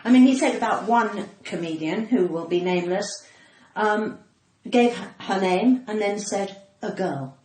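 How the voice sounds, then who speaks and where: A woman's voice: mid-range voice, normal speed, darkish tone, reverberant, low-quality recording. An older woman with a cut-glass English accent chatting playfully and theatrically as a podcast guest. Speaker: guest comedian and actor.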